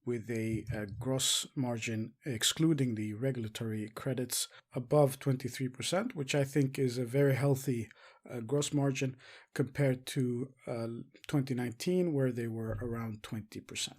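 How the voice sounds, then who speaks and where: Halting man giving market commentary. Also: medium pitch. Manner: halting delivery with frequent filled pauses. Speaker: host of an investing commentary show. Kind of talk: semi-scripted stock market commentary.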